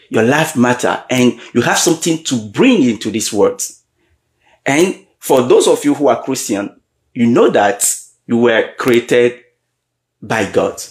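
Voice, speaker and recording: A man giving a motivational leadership talk, often pausing to check in with his audience and repeating key questions. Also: mid-range voice. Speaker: leadership coach. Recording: roomy echo.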